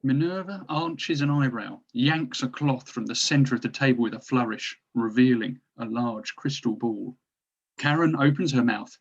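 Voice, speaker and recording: A man's voice: mid-range voice, normal speed, vocal fry. A man narrating stage directions from a drama script, recorded on dull, lo-fi audio.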